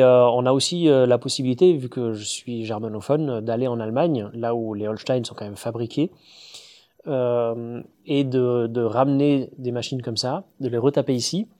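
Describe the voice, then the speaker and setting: A man of ordinary pitch talks about his trade in a relaxed interview. He gets enthusiastic and explains at length, but hesitates often, filling gaps with "euh" and restarting phrases. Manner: hesitant, many fillers and restarts. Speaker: distiller, guest. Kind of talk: casual interview talk.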